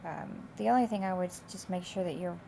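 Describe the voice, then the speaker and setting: A woman instructor with a slightly raspy, hoarse voice, explaining coursework in a casual, loosely phrased, conversational way. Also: lowish voice, fast talker, warm tone. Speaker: college instructor. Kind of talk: informal explanation of course assignments. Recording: clear background noise.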